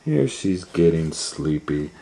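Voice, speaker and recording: A man's voice: extremely low pitch, big pitch swings, takes it slowly, creaky, noisy background. A man reading a children's story aloud as an educator, asking rhetorical questions and explaining the pictures to young listeners. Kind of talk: animated children's storytime narration.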